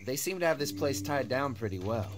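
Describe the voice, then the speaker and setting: A man's voice: mid-range voice, animated, fairly quick, close to an everyday voice. An actor playing an edgy, loyal, talkative man in a zombie apocalypse game, with casual, candid delivery. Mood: casual, probing. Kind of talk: video game voice acting, dramatic dialogue. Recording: game music bed behind voice. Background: music.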